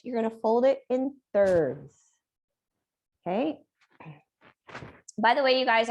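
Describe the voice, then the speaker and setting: A woman leads a yoga class with a fairly high voice, giving calm step-by-step cues. She has been losing her voice and clears her throat now and then. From Reno area, United States. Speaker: yoga teacher. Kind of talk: guided yoga class instruction.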